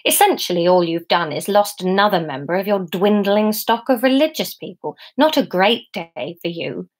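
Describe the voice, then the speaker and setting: A woman's voice: mid-range voice, expressive, moderate pace, natural voice. A woman voicing a petulant devil in a storybook reading, churlish, complaining and easily irritated. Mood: persistent needling.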